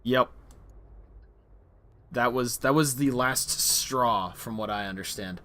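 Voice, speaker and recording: A man's voice: mid-range voice, slow, bright, forceful tone, no room sound. A man hosting a casual group chat where gamers share stories. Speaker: host leading a gaming story session.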